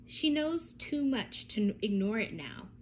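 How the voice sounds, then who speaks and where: A woman reading a picture book aloud to young children, telling it expressively with deliberate phrasing and dramatic pauses. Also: a little high, very animated, slight background noise.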